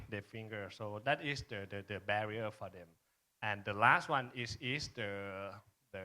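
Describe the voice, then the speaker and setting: A man from Thailand with a Thai accent, a native Thai speaker, presenting informally at a conference in halting phrases with frequent checking tags. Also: lowish voice, pushed and bright. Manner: halting, frequent tag questions "right?". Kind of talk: informal presentation and panel answer.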